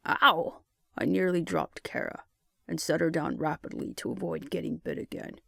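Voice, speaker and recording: A man's voice: on the high side, sing-song, normal speed, creaky, dry recording, studio quality. A man reading scripted first-person fiction as an audiobook narrator.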